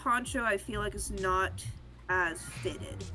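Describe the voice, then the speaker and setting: A woman's voice: mid-range voice, expressive, slow, sharp tone, faint music behind. A woman co-streamer chatting casually during a stream. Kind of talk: casual chat.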